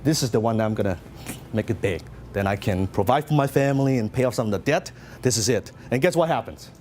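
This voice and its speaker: A man's voice: mid-range voice, animated, fast talker, darkish tone, a little hiss or hum. A middle-aged man from Hong Kong with a Hong Kong Cantonese accent, giving a motivational seminar talk and telling his story with lots of questions to the audience. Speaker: business speaker.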